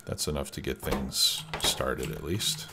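A man's voice: a bass voice, steady tone, a little slow, heavy background noise. A man with a stuffed-up cold, casually commenting on his gameplay as a let's play host, thinking aloud with many fillers. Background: background music and keyboard typing.

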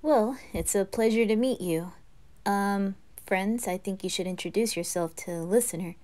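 A woman playing a cool-headed, protective boy with a measured, hesitant manner in a fan roleplay drama. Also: medium pitch, darkish tone, natural voice. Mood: calm, polite.

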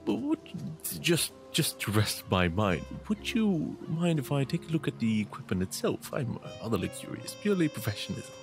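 A man's voice: mid-range voice, big pitch swings, moderate pace, vocal fry, music in the background, pitched above the performer's own voice. A man voicing a courteous, curious inquisitor priest who speaks formally and hesitantly.

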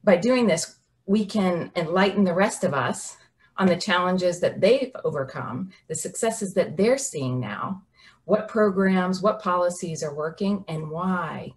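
A woman's voice: fairly low pitch, a little slow, slight background noise. Polished upbeat woman hosting a video call. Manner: polished, upbeat, reads from prepared remarks. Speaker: host. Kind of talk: scripted introduction and interview. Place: home office on a video call.

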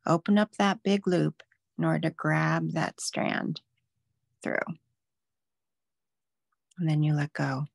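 Woman knitting teacher for a live demo; calm stepwise explainer, slightly low and fry-tinged. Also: takes it slowly, long pauses, dry recording, clean studio sound. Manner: patient step-by-step explaining. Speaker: knitting instructor. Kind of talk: live online craft demo.

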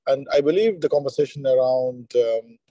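Mellow man, dry close sound. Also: mid-range voice, moderate pace, no echo, low-quality recording. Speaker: youth activist, panel speaker. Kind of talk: halting, unscripted panel remarks. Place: video call.

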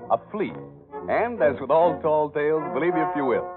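Higher-pitched man announcing on vintage radio. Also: expressive, fairly quick. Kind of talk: 1930s scripted radio announcing. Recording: muffled 1930s radio broadcast with music.

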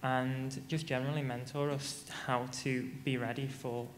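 A man's voice: mid-range voice, little pitch movement, normal speed, slight background noise. A teenage male student on a conference panel, answering questions hesitantly with lots of ums and likes into a handheld microphone. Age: teens. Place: large conference stage. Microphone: handheld mic. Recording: reverberant conference hall.

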